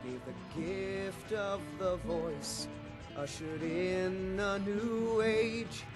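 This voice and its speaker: A woman voicing a solemn bard in a fantasy game, reciting an old legend in measured, dramatic, lyrical verse. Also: mid-range voice. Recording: music under the voice.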